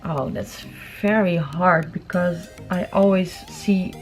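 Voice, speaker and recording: A woman's voice: fairly low pitch, slow, faint music behind. A young adult woman, chatting informally as she answers viewer questions in a casual vlog. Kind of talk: casual Q&A chat to camera. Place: room at home.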